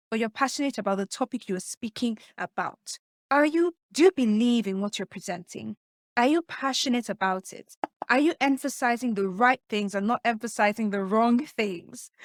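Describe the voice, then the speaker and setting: A woman with a soft voice, teaching communication skills as a coach in an emphatic way, often putting questions to the listener. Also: fairly high pitch, no echo, clean studio sound. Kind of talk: teaching presentation tips to camera.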